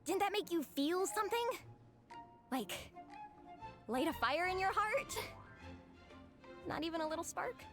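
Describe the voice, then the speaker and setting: An actress playing an earnest, excitable young comic book superfan in a video game, dramatic and gushing. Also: female voice, very high voice, a little slow, pauses often, faint music behind, exaggerated voice. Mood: eager.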